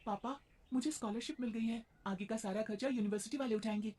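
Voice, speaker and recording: A woman's voice: fairly high pitch, fast, mellow, voice pushed up, lightly acted. A woman playing a dutiful, wounded young stepdaughter in a moral-story cartoon, speaking earnestly.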